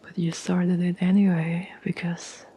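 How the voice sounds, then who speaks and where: A woman playing a caring, tender girlfriend in an intimate ASMR roleplay, speaking in a soft, breathy whisper with gentle, halting pauses. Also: lowish voice, little pitch movement, poor audio. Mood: warm, grateful. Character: caring, tender girlfriend reassuring her troubled partner.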